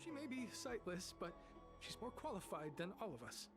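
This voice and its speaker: A woman's voice: mid-range voice, sing-song, normal speed. A woman voicing an earnest, easily flustered alchemist in a video game, with music behind. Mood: helpful, admiring.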